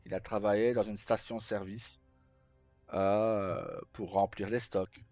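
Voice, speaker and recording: A man live streaming, casually telling roleplay stories in a rambling, halting way with pauses mid-sentence. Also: lowish voice, level tone, a little mellow. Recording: slightly muffled, roomy, faint music. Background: background music.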